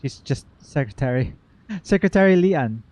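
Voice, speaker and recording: High-voiced man streaming, casual and expressive. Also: moderate pace. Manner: expressive, joking, talks back to characters. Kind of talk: casual game reaction commentary. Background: game music.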